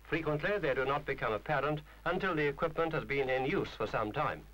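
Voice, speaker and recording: A man's voice: a little high, brisk, a bit bright, rough recording. A man reading a scripted instructional film narration in a formal, precise, didactic manner.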